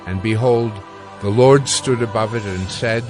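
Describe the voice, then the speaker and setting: Male scripture narrator over faint music. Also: on the low side. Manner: measured, formal.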